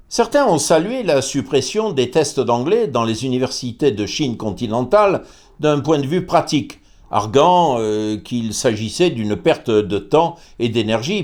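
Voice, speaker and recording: Male host reading his own commentary: measured, pointed, with pauses and hesitations. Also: medium pitch, a little mellow. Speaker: host presenting a commentary series. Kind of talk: scripted commentary read aloud.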